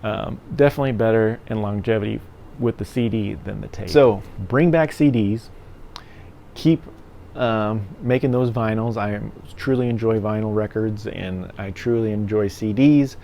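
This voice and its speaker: Low, mellow middle-aged man, rambling casually. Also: moderate pace. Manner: rambling, conversational. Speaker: music gear reviewer and vlogger. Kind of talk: casual opinion vlog. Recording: clear background noise.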